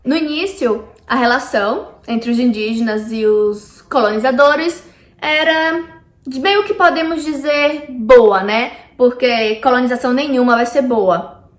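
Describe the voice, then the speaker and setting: A woman's voice: a little high, measured pace, echoey room. A Brazilian woman with a Brazilian Portuguese accent, explaining history to her viewers in a chatty vlog. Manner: chatty, addresses viewers directly. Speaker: vlogger. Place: lives in Canada.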